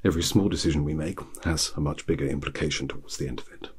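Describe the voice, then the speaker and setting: Casting: male actor as indecisive living tarot figure, ASMR roleplay, extremely low and heavily husky, wry, rambling, self-deprecating. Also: steady tone, fast talker, full character voice. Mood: wryly musing. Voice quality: low, husky, breathy half-whisper. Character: the Hanged Man tarot card, musing, indecisive, wry.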